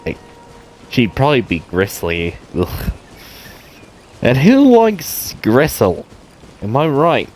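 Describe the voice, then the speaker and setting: A man's voice: medium pitch, huge pitch swings, takes it slowly, long pauses, a little mellow, a little hiss or hum. A man giving casual, joking gameplay commentary, with playful teasing asides. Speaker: gamer reacting to a story quest.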